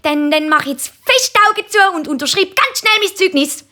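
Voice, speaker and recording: A woman's voice: very high-pitched, lively intonation, brisk, heavy background noise, voice pushed up. A woman voicing cheeky, naive little schoolchildren in jokes, with a Swiss accent and an eager, sing-song way of talking. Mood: curious, insistent.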